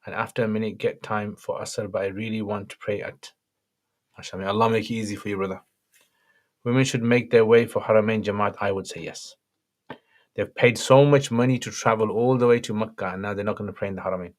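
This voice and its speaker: A man with a slightly croaky voice, an Islamic scholar reading out and answering viewer questions on a livestream in brief, matter-of-fact replies. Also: fairly low pitch, fairly even intonation, fast talker.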